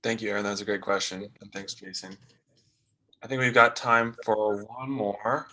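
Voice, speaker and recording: A man's voice: lowish voice, steady tone, moderate pace, sharp tone, poor audio. A man moderating a Q&A, thanking the speaker and calling on the next questioner. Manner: courteous, calls on audience members. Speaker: event moderator.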